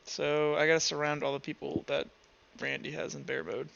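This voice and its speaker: A man improvising narration as game master of a tabletop roleplay session, halting and thinking aloud as he goes. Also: fairly high pitch, level tone, creaky, fairly bright tone, highs cut off.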